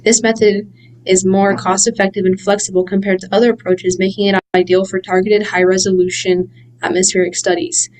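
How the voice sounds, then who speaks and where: A teenage girl student reading a steady, explanatory part of a science presentation. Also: mid-range voice, brisk, a little hiss or hum, rough recording. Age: teens.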